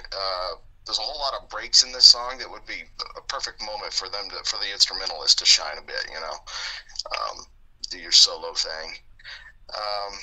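A man co-hosting a casual music podcast, his voice a bit froggy and going hoarse, talking in a relaxed, rambling way with fillers and chuckles. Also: lowish voice, low-quality recording. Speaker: podcast co-host. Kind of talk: casual chat.

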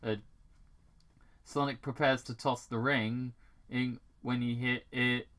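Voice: mid-range voice, level tone, a bit bright. A British amateur reader reading fan fiction aloud, stumbling over words and breaking off to ad-lib. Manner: halting, stumbling over words and correcting themselves. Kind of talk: amateur read-aloud with ad-libs.

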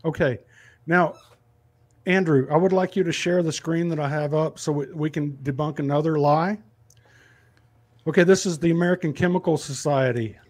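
A man's voice: fairly high pitch, moderate pace, pauses often, a little mellow. A man in his fifties, a farmer in a heated video-call debate, combative and talking over his opponent. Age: 50s. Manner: combative, talks over the other speaker.

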